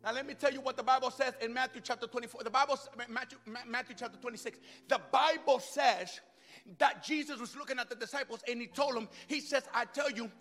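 A man delivers a sermon in a very high, bright voice. He drops into rapid, quieter asides, then climbs back into shouting. He is heard in a long-reverb hall with soft music underneath. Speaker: preacher.

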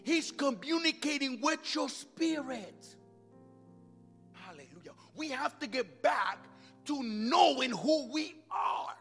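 High, bright-voiced shouting preacher. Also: male voice, expressive. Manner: alternates shouting with quiet fast asides. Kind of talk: sermon. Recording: music under voice in a long-reverb hall.

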